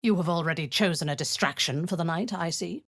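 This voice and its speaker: A woman voicing a cruel, zealous drow commander in a video game, menacing and slow, with a low, husky voice that often drops to a whisper. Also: no echo, studio quality. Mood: commanding. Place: studio booth. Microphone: close studio mic, dry.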